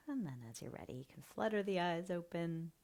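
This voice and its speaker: A woman leading a guided breathing meditation, speaking softly and slowly, often half-whispering. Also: lowish voice, a little hiss or hum. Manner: slow, soft and soothing, often half-whispered.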